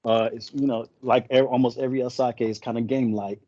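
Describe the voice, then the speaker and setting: A man's voice: medium pitch, steady tone, quick pace, dark tone, no room sound, lo-fi sound. A man who speaks English as a later language, chatting casually as a podcast co-host and retelling a story in a rambling way, often correcting himself. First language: not English.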